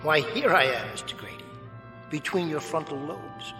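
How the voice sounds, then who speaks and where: An actor playing a jockey's urbane, mocking alter ego in a radio drama, speaking with polite, sardonic precision. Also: male voice, fairly high pitch, expressive, moderate pace, sharp tone, roomy sound, long reverb tail, faint music behind. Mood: sly, amused.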